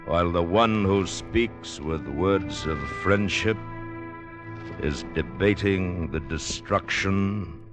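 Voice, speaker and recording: Deep voiced old radio announcer guy, slow n ominous, music behind. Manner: portentous, deliberate. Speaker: program announcer. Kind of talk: dramatic scripted 1950s radio narration. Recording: vintage radio broadcast with music.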